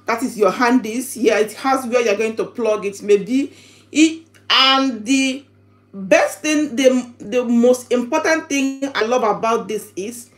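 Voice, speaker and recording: Woman doing a casual unboxing, really slow n halting, bit high pitched, repeats herself explaining stuff. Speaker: vlogger presenting product unboxing. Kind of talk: casual unboxing vlog chat.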